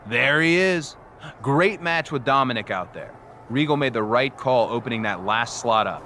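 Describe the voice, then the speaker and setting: An actor playing a friendly, competitive pro wrestler in a sports game scene. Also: male voice, medium pitch, animated, normal speed, pushed and bright, a little hiss or hum. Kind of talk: cinematic sports game dialogue.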